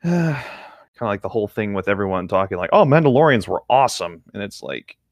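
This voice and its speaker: Kinda low voiced guy co-hosting, jokey casual talk, really animated, dry recording. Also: brisk, dry recording. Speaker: podcast co-host. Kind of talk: casual chat.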